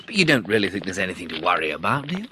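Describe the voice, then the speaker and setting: An actor playing a dry, sceptical, educated plant scientist with an English accent in a classic radio drama. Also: male voice, deep voice, very animated, rapid-fire, background noise.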